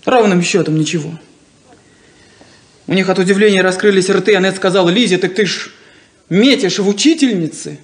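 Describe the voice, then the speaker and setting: An actress playing a proud, insecure young daughter in a 1970s Soviet radio play, with a theatrical, defensive, wounded delivery. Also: female voice, mid-range voice, normal speed, long pauses, natural voice. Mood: wry hurt. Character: proud, insecure, plain-spoken unmarried daughter. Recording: roomy 1970s radio drama recording.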